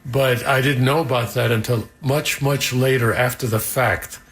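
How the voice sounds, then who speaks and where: An older man with a slight New York accent, talking informally as an interviewee in measured, rather formal wording. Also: medium pitch, steady tone, a bit bright. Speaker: interviewee, father of the host. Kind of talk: informal recorded conversation.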